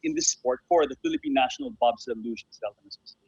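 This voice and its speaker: Fast-talking man, slightly husky, roomy sound. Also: mid-range voice, darkish tone, dull audio. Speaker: interview guest, sports association founder and honorary consul. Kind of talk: conversational radio interview.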